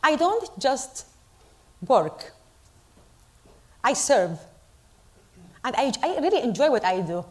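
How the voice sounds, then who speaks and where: Middle-aged Iraqi-born woman, fairly high voice, earnest deliberate speech to a crowd, English. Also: very animated, a little mellow. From Iraq. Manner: earnest, deliberate, with pauses for emphasis. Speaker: storyteller at a community event. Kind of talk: speech to a live audience.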